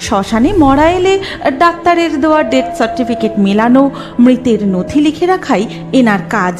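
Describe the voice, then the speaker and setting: A woman's voice: sits high, animated, moderate pace. A woman narrating a scripted horror story dramatically, as the narrator of a cartoon. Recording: music laid under the narration.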